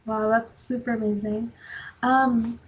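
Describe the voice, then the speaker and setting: Husky, higher-pitched young woman interviewing casually. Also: little pitch movement, slow, muffled recording. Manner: informal, affirming, frequent "like".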